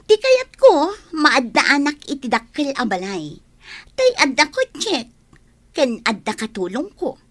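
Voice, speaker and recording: An actress playing a vain, sharp-tongued young village woman in a comedy radio play. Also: high-pitched, very animated, a little slow, exaggerated voice. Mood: dreamy.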